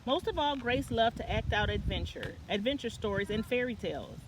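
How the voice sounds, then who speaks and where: Woman reading storybook over clear background noise. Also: a little high, expressive, a little fast. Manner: stumbles and self-corrects while reading. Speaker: story time reader. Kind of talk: reading a children's picture book aloud.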